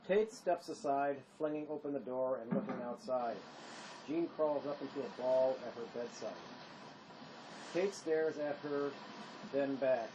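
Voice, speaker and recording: A man's voice: medium pitch, measured pace. A man reading stage directions as scripted narration. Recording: clear background noise.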